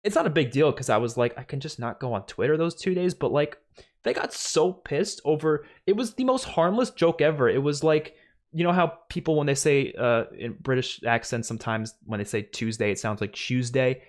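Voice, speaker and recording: A man's voice: mid-range voice, fairly quick. Young man streaming, loose New York lilt. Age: young adult. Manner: animated, swearing, laughs a lot. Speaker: streamer host. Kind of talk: casual live stream Q&A. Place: small home room, indoors. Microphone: studio mic on boom, headphones.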